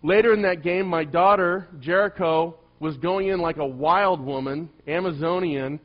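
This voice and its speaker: A middle-aged male pastor with a soft voice, telling a story in a sermon and swinging between loud emphasis and hushed asides. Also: fairly high pitch, moderate pace, muffled recording.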